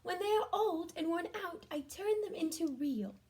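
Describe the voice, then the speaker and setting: A woman voicing a kindly female magic fairy from a children's storybook, gentle and reassuring. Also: extremely high pitch, expressive, moderate pace, voice pushed up.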